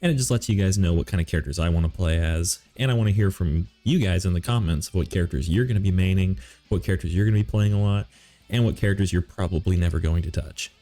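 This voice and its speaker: A man's voice: deep voice, fast, mellow, soft background music. A man hosting a gaming video, chatting casually and rambling with many ums and self-corrections while coming down with a cold. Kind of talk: casual commentary ranking game characters.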